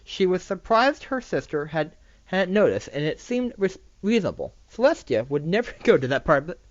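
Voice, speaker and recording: A lively amateur storyteller with a lisp reads aloud in a swinging pitch. The delivery is halting, with misread words quickly fixed. It is recorded dry and close on a slightly dull microphone. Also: no room sound. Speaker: amateur reader of fan fiction. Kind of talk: reading a story aloud, with stumbles and asides.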